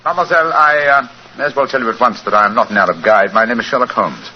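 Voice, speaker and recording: Man as commanding gentleman sleuth, quick delivery, British accent, formal, old radio play, background hiss. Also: medium pitch, lightly acted. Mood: courteous, reassuring. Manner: precise, courteous, formal. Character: brisk, assured gentleman detective, calm and commanding. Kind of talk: 1940s radio acting. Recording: muffled, hissy old radio recording.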